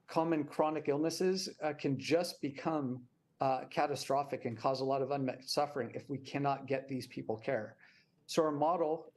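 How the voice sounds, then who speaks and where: A man's voice: on the high side, darkish tone. An American man speaking as a nonprofit representative, explaining the work in an interview.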